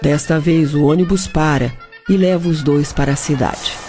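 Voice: mid-range voice, moderate pace, dark tone. A narrator with a Brazilian accent reading scripted narration for a radio drama. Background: music.

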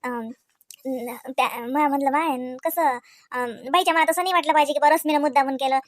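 A woman voicing an anxious, apologetic older in-law in a comedy cartoon, soft-spoken and halting, with a rural Maharashtra accent. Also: high voice, fairly even intonation, no echo, lightly acted. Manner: hesitant, halting, soft-spoken, full of ums. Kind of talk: Marathi comedy cartoon acting.

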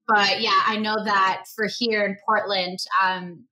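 A woman's voice: mid-range voice, little pitch movement, a little slow, pushed and bright, low-quality recording. A woman hosting a podcast, introducing and interviewing in a conversational style. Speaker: podcast host.

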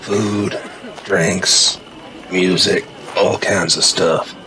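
A man playing a weary, gruff father in a post-apocalyptic audio drama. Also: deep voice, fairly even intonation, drawn-out words, pushed and bright, faint music behind, heavily acted, poor audio.

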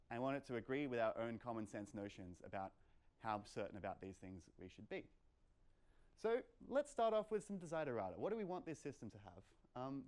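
A man's voice: medium pitch, darkish tone. Young man giving an informal technical talk. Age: young adult. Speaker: lecturer at a meetup. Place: lecture room, indoors.

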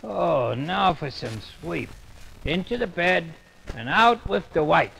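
An actor playing a mild, bumbling man who is fussy and polite and quickly gets exasperated. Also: fairly high pitch, very animated, gravelly, fairly bright tone, slight background noise, heavily acted, poor audio. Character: mild bumbling grown man, easily flustered. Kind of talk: children's story record acting.

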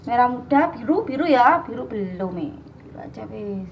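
A mother keeps prompting her child with questions, her voice a touch high. She is caught on a phone camera over a tiled floor at home, and the muffled recording carries clear background noise. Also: animated, moderate pace. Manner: coaxing, asks the child question after question. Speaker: mother guiding a child's craft. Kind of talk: casual home chat.

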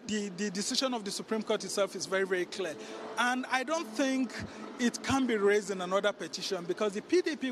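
High-pitched Nigerian man reporting live. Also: slight background noise. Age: middle-aged. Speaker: political correspondent. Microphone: handheld microphone.

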